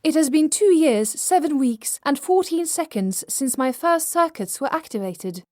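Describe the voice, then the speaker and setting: High-pitched female ai, glitchy robot sound, every syllable its own note, no breaths, audio drama. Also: moderate pace. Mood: precise, detached. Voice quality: robotic, synthetic, quantized melody, no breaths. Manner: clipped, even syllables, run together. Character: female artificial intelligence, synthetic, emotionless, precise robot. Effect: pitch-quantized glitchy robot voice.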